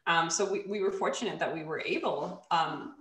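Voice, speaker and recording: A woman's voice: on the low side, normal speed, fairly bright tone. Polish-born woman in an echoey room. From Poland. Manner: thoughtful, many fillers and restarts. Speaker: podcast guest. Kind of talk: casual video-call interview. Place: home room, plain light wall. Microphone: desk microphone on a video call.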